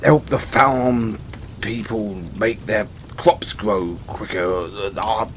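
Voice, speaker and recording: A man playing a gruff but good-hearted orc barbarian in a fantasy audio roleplay, bashful and loyal, stumbling over his words in halting speech. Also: lowish voice, a little hiss or hum. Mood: admiring, fumbling. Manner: halting, fumbling speech with sheepish stammers. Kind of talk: fantasy audio roleplay, intimate one-sided dialogue. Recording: outdoor night ambience with crickets behind.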